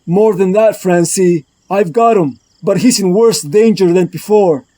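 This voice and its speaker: A man's voice: high voice, measured pace, warm tone. A man voicing Batman as a grave, driven detective hero in a solemn, melodramatic comic-book dub. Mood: brooding. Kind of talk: dramatic comic-book dub with inner monologue. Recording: music bed under the voice.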